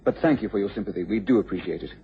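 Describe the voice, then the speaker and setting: A man's voice: lowish voice, racing, natural voice. Casting: man playing scheming husband and lab assistant, formal and polite, radio drama, dull noisy recording. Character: smooth-talking, scheming scientist's assistant and husband. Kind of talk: radio mystery drama acting. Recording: muffled radio recording with background noise.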